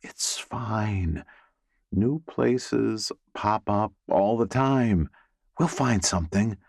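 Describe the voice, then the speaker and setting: Audiobook role: young male lead read by a man, earnest and caring, measured, a little lifted in pitch. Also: creaky, a little mellow, no echo, studio quality, heavily acted. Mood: reassuring.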